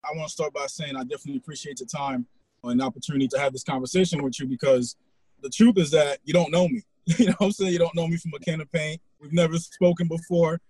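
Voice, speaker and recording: Forties male podcast host from Haiti; quick, husky, easygoing talker. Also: a little high, no room sound, rough recording. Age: 40s. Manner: fast, relaxed, conversational with fillers. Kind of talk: casual interview chat.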